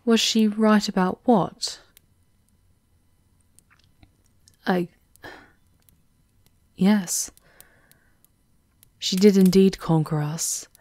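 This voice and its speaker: Shrewd enchantress and royal advisor, fantasy ASMR, voiced by a woman: deep female voice, composed, formal, slow delivery. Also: warm tone, dry recording. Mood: measured, guarded. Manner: measured, knowing, formal. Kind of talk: ASMR roleplay acting.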